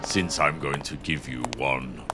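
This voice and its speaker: An ancient vampire lord with an extremely low voice full of gravel. He speaks slowly and grandly, a menacing, gloating villain in an audio drama, with music clearly underneath. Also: fairly even intonation. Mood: cold threatening. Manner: slow, grandiose, theatrical villain delivery.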